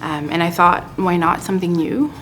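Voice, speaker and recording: A woman in her forties from West Africa, telling her story in a thoughtful, measured way in a documentary interview. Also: on the low side, expressive, fairly bright tone, low-quality recording. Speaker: interviewee, combat sports athlete.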